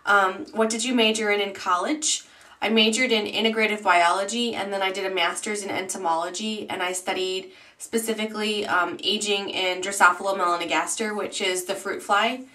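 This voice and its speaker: A bright-toned woman in her twenties talks casually to her camera, filling pauses with ums and likes as she rambles along. Her voice sits at an ordinary pitch. The camera mic is a little distant, so she sounds slightly far off. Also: steady tone. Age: 20s. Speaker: YouTube beauty vlogger. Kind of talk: casual vlog chat.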